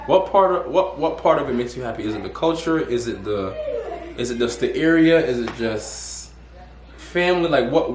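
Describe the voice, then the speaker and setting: A man's voice: medium pitch, slight background noise. A young man hosting a casual interview, rambling and restarting his questions. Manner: rambling, restarts and repeats words. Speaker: host. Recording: echoey room.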